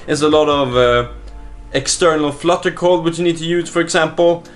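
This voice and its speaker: A man's voice: fairly high pitch, normal speed. Casual man vlogging over music. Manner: informal, conversational, mild swearing. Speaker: startup vlogger and app developer. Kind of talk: casual video diary and app demo.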